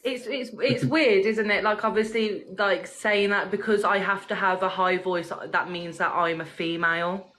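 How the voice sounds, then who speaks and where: A woman's voice: mid-range voice, fairly even intonation, normal speed, hardly any pauses, a bit bright. A woman calling in to a livestream, curious and rambling with likes and ums. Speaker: caller. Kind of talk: casual call-in chat.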